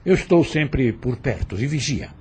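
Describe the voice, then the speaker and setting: Audio novel role: insinuating overseer , male actor, Brazilian accent, heavily husky voice, lively intonation, falsely deferential to his mistress. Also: mid-range voice. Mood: slyly menacing. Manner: measured, insinuating, mock-courteous. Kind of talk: audio drama acting.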